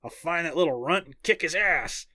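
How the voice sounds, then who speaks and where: A man's voice: a little high, lively intonation, moderate pace, bright, forceful tone, no room sound, studio quality, pitched above the performer's own voice, exaggerated voice. A man voicing a hot-tempered male fighter with a Southern US accent in an audiobook, cursing and grumbling. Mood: angry. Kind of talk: audiobook character dialogue.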